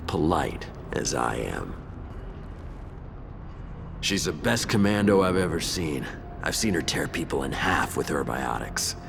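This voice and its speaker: A menacing mercenary squad leader from a sci-fi game, with a deep voice. He taunts and threatens like a cocky tough guy, over clearly audible music. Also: full character voice. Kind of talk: video game voice acting.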